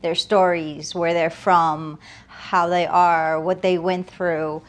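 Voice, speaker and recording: Low-voiced woman, reflective and earnest. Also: level tone, slow. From United States, moved from Afghanistan as a toddler. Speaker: interview guest, realtor. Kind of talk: conversational interview answers.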